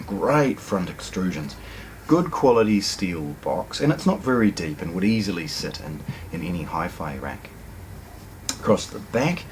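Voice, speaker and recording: Kiwi guy, middle-aged, unboxing hi-fi gear in a shop, lowish voice but really bouncy, lots of ums. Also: background noise. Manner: chatty, enthusiastic, frequent ums and restarts. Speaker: hi-fi store presenter. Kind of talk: casual product unboxing walkthrough. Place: hi-fi store, indoors.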